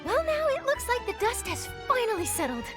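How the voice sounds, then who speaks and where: An actress voicing an eager young reporter who talks in a high, excitable way, with game music behind. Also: female voice, fairly quick. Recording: game audio with background music.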